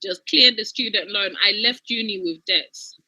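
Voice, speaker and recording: A woman's voice: medium pitch, lively intonation, normal speed, vocal fry, pushed and bright, breathy edge, no room sound. A thirty-year-old woman from Uganda giving a casual, effusive testimony, repeating points for emphasis. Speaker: guest giving a success testimony.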